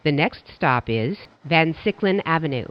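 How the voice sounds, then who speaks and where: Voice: medium pitch, lively intonation, measured pace, no echo, lo-fi sound. A subway train announcer reading scripted transit announcements in formal, clipped stock phrases.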